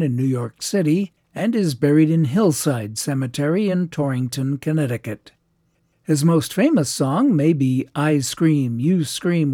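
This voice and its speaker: A man hosting a radio show about old records, reading dates and facts in measured detail. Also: a little high, dark tone, no room sound, clean studio sound. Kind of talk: scripted record-show narration.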